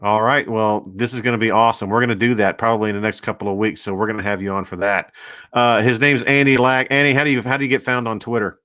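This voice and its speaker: A man with a low, smooth voice hosts a relaxed chat. He stumbles through plenty of fillers and restarts, and his sound is dry and close. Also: no room sound. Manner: halting, lots of fillers and restarts. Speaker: show host. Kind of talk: casual interview chat.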